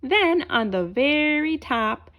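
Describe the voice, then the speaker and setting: A woman reads a children's storybook aloud. Her voice is high, with very lively rises and falls, and her narration is expressive and aimed at young listeners. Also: slow. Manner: asks listeners questions as she reads. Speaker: storybook reader.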